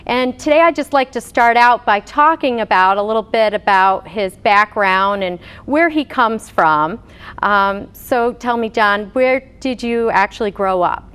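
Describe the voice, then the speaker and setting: A woman's voice: on the high side, unhurried, a bit bright, clean tone. A woman dietitian hosting an interview, asking questions in a friendly way with many fillers. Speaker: dietitian and host.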